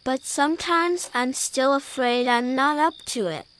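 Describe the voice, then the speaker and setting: A woman's voice: sits high, unhurried, fairly bright tone, pitched above the performer's own voice. A woman voicing a shy but eager young male lion cub in a children's storybook, speaking earnestly. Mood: excited, unsure.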